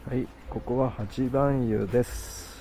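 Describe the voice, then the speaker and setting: Casual vlog host: Japanese man, native Japanese speaker with a Japanese accent, speaking on the go under loud music. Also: mid-range voice, fairly even intonation, poor audio. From Toyama, Japan. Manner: halting, searching for words in English. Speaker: travel vlogger. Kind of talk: casual on-the-spot travel narration and chat.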